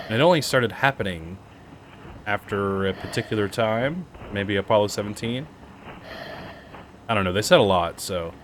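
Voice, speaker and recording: A man's voice: on the low side, a little hiss or hum, low-quality recording. A man hosting a game commentary video, sounding snuffly from a cold and talking loosely with lots of fillers. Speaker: gaming channel host. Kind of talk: casual let's-play commentary.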